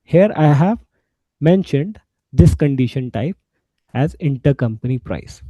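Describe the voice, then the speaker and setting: A man giving an informal software walkthrough, explaining step by step in a halting way. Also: a little high, animated, no echo, lo-fi sound. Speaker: SAP instructor.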